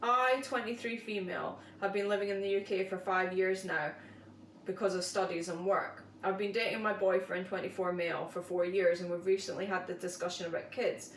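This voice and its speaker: A woman's voice: mid-range voice, steady tone, a little fast, roomy sound, distant mic, a little hiss or hum, poor audio. A woman co-hosting a casual show, reading online posts aloud and chatting about them. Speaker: podcast co-host reading posts.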